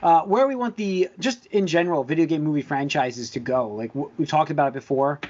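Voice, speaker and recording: A man's voice: fairly high pitch, brisk, darkish tone, lo-fi sound. A man hosting a podcast in casual chat, rambling and easily sidetracked as he talks. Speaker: podcast host.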